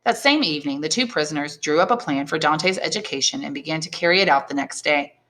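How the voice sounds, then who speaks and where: A woman reading a novel aloud as a teacher would, in expressive, measured and carefully phrased narration. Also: sits low.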